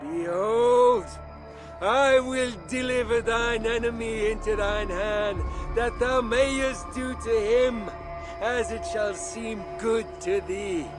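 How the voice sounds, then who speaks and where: Evil zealot bishop, very high for a man, slow pompous preaching, game villain. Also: music in the background, exaggerated voice. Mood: pious menace. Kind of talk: theatrical scripture-quoting game villain.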